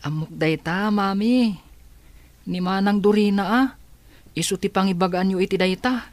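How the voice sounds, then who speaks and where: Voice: mid-range voice, normal speed, dry recording, close to an everyday voice. An actor playing an earnest young son. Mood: concerned. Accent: Ilocano.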